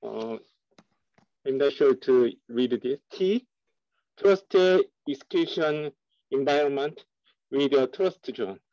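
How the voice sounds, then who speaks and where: A man's voice: medium pitch, takes it slowly, pauses often, mellow, no echo, lo-fi sound. A young man, a student, reading lecture slides aloud over a call, hesitant and stumbling over technical terms.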